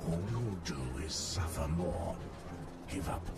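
An actor playing a grim, older, hardened ranger commander in a video game, stern and menacing, with a gravelly voice. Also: male voice, deep voice, animated, reverberant, distant mic, over loud music, exaggerated voice. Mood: cold menace. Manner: stern, slow menacing delivery. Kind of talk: video game cutscene acting.

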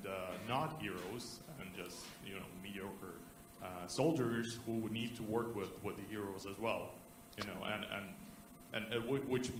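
A man in a conference audience asking the speaker a question in a rambling, halting way. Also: on the low side. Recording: echoey hall with faint noise.

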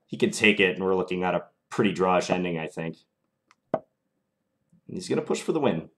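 A man's voice: sits low, lively intonation, fairly quick, background noise. A young man with a mild Minnesota accent, streaming live and thinking aloud through his chess moves in a small room. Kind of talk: live chess commentary while playing. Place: small indoor room at home.

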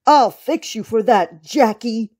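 A woman voicing a jolly, mischievous gentleman rabbit in a children's storybook, with a playful manner. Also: a little high, slow. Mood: indignant.

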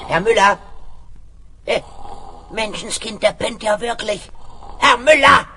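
An actor voicing a small, take-charge cartoon mouse in a children's radio play. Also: male voice, high-pitched, slow pace. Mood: brisk, excited. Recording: noisy old vinyl audio play.

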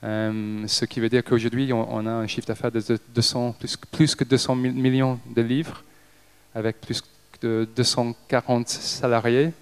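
English guy in his 30s talking on stage, smooth clear voice, lots of pauses and restarts, handheld mic. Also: medium pitch, level tone. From Liverpool, England. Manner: halting, frequent hesitations and restarts. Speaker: company director giving a talk. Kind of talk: stage talk to an audience. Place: stage in an event hall with a seated audience. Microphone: handheld microphone.

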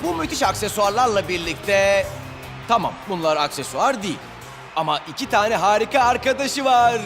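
A man's voice: high-pitched, sing-song, moderate pace, fairly bright tone, heavily acted. A man voicing a flamboyant, eager, goofy male footballer playing salesman in a cartoon dub. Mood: showy. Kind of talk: cartoon dubbing, mock advertising pitch. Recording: music behind.

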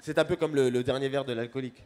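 A man's voice: mid-range voice, steady tone, fast talker, darkish tone. A man hosting a live radio interview at a public event, moving things along with short prompting questions. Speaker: radio host.

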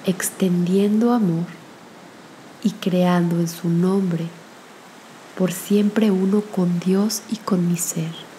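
A woman leading a guided spiritual meditation in a gentle, soothing manner with long pauses. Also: lowish voice, steady tone, a little hiss or hum, low-quality recording.

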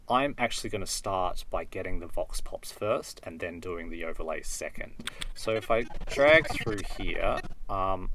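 Low-pitched man narrating a tutorial. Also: creaky, background noise. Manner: step-by-step, thinking aloud. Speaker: video editing tutor. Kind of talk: unscripted software tutorial narration.

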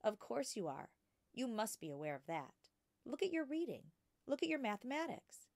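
Gentle, cautious female schoolteacher played by a woman reader, storybook style, patient and measured, in a dry space. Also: a little high, sing-song, gravelly, no room sound, voice pushed up. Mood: admiring, insistent. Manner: patient, careful, measured explaining. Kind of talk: children's storybook character reading.

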